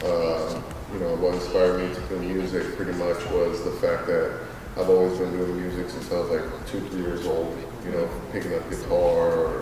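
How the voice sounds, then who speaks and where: Deep flat-voiced man, distant and echoey. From Queens, New York; raised in Los Angeles. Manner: rambling, many fillers and repeats. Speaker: music artist and label owner. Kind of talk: casual interview answers. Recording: distant mic in echoey reverberant space with crowd.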